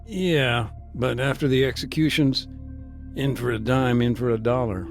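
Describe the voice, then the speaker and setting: Male actor as war-weary humanitarian, measured, hesitant, medium pitch, naturalistic game dialogue, soft music behind. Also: lightly acted. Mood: measured, matter-of-fact. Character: weary, earnest male humanitarian aid worker recounting a war. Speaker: humanitarian aid worker being interviewed. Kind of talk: naturalistic video game dialogue, interview recollection.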